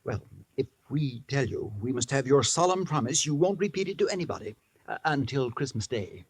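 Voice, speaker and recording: A man's voice: medium pitch, expressive, a little fast, breathy edge, no room sound. An actor playing a pompous, shady animal-act showman in a 1950s radio drama, with grandiose, florid, emphatic delivery. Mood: conspiratorial.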